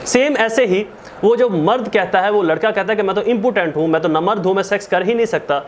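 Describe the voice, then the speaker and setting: Casting: male law lecturer, Pakistani accent, high voice, didactic and repetitive for emphasis, faint noise behind him. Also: quick pace. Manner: didactic, asks and answers own questions, repeats points for emphasis; code-switches into English legal terms. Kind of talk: explanatory lecture.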